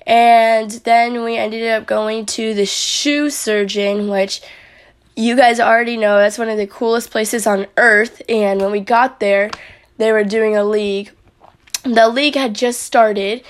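A young woman talks casually into the camera and recaps her week as a vlogger and athlete. Her voice sounds raspy and hoarse after days of yelling while coaching. She rambles along in a friendly way, using many fillers. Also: a little high.

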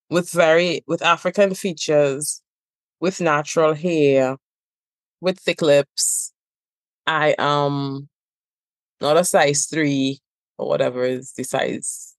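A woman's voice: deep voice, dry recording. A woman from Trinidad and Tobago with a Trinidadian accent, a therapist guest speaking emphatically with rhythmic repetition in a podcast conversation.

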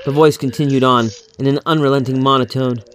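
A man's voice: mid-range voice, steady tone, brisk, fairly bright tone, faint music behind. A man reading a horror story as an audiobook narrator, in a dramatic scripted style.

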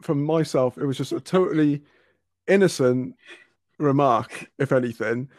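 A man's voice: mid-range voice, unhurried, a little mellow, no room sound, studio quality. A man from Wales, telling rambling anecdotes in a casual chat, often restarting and laughing.

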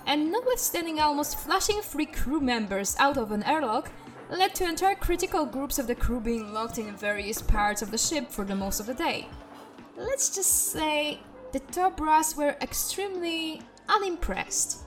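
A woman's voice: high voice, very animated, moderate pace, soft background music. A woman playing a wry, teasing spaceship systems tech turned interim commander in a sci-fi comedy audio drama. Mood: smug, gossipy.

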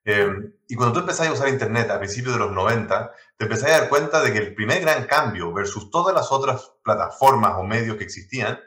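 A man with a Chilean accent, a guest in an interview, telling stories in quick bursts with many restarts; Spanish is not his first language. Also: medium pitch, animated, pushed and bright, reverberant. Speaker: guest, tech blog founder. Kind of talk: conversational interview.

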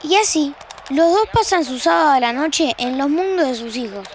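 A woman's voice: high voice, lively intonation, normal speed, slight background noise. A woman acting as the wry narrator of a family audio drama. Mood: wry, intimate. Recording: music under the voice.

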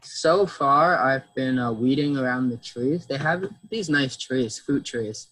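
Plain, halting man, husky, R sounds slightly off, casual chat. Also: mid-range voice, fairly bright tone, rough recording. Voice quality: trouble with R sounds. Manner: halting, repeats words, plain. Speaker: farm volunteer.

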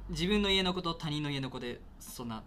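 A man's voice: fairly high pitch, expressive, fairly quick, pushed and bright. A man playing an earnest, indignant railway station attendant in a radio drama, speaking in polite but insistent, reproachful sentences. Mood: searching, reproachful. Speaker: actor in an amateur radio drama. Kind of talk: naturalistic radio drama dialogue.

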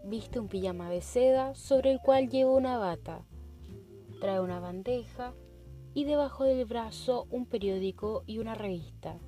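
A young Chilean woman reads stage directions in a mellow, slightly high voice, steady and deliberate. Soft music sits under her narration. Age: young adult. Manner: measured, deliberate reading.

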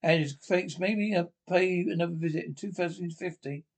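A man with a distinctly high voice reads a radio play script aloud. He moves through it haltingly, tripping on words and doubling back to repeat them. Speaker names get read out along with the dialogue. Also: steady tone, vocal fry. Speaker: amateur script reader.

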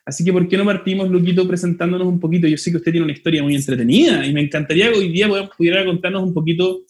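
High-voiced male podcast host. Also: rapid-fire. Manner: playful, affectionate, teasing. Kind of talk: casual conversation.

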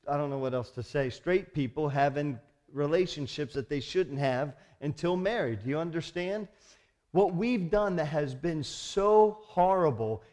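A man delivers a sermon from the pulpit in an emphatic, rousing manner. His voice sits a little higher than most men's, and he keeps turning to the congregation with rhetorical questions. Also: a little mellow. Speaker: pastor.

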